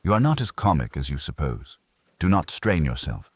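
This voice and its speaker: A man playing an imperious older detective in an audiobook mystery, speaking in a measured, formal, pompous way. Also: a bass voice, big pitch swings, husky, no room sound, full character voice. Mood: stern, deliberate.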